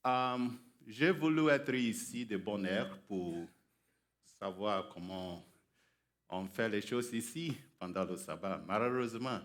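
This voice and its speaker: Slow, low-voiced preacher. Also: male voice, expressive. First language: English. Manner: halting, careful beginner's French. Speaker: guest preacher. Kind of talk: sermon introduction. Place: church sanctuary.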